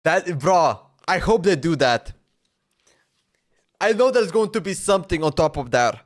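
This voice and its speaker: A man's voice: a little high, animated, a little slow. A man streaming a game, chatting loosely and laughing, often saying "bro" and "man.". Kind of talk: casual livestream chat while playing.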